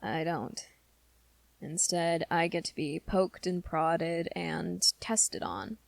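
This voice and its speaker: A woman playing an earnest, lovesick young male werewolf in an intimate ASMR roleplay, confiding softly and haltingly in a friend. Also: sits low, gravelly, no room sound, full character voice. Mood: wistful. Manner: hesitant, halting, soft-spoken confession.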